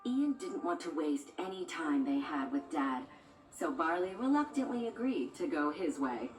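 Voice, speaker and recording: Low-pitched woman narrating a children's story. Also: sing-song, moderate pace. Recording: echoey, distant, music behind.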